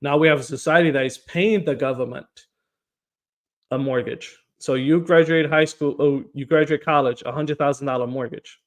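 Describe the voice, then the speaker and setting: A man's voice: fairly high pitch, fairly even intonation, normal speed. A man from Portugal, a political candidate answering interview questions in an earnest way, often repeating words as he starts a phrase. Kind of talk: podcast interview.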